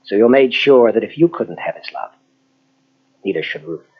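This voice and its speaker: An actor playing a grave, earnest psychologist in an old-style radio mystery, husky and deliberate, with dramatic pauses. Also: male voice, lowish voice, animated. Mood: grave, accusing. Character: earnest, grave male psychologist confronting a killer. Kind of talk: 1940s radio mystery acting. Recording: dull lo-fi old radio tape.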